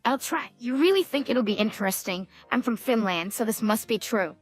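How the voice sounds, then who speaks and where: A woman's voice: a little high, lively intonation, normal speed, faint music behind. A synthetic woman's voice playing a skeptical, put-upon teenage cartoon daughter.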